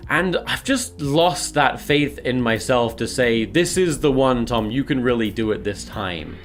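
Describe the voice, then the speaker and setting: A man's voice: medium pitch, expressive, measured pace, faint music behind. A man talking to his audience in a confessional, partly scripted vlog, with a self-deprecating way of speaking. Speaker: video creator documenting his weight loss.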